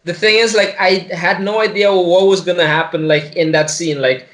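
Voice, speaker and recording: Higher-pitched young man, blunt and slangy. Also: fairly even intonation, normal speed, a bit bright. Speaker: podcast co-host. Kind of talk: casual chat about a film.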